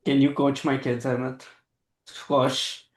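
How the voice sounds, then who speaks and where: A man's voice: medium pitch, flat delivery, slow. A man moderating a fireside chat, friendly and casual with fillers. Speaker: moderator, interviewer. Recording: echoey room.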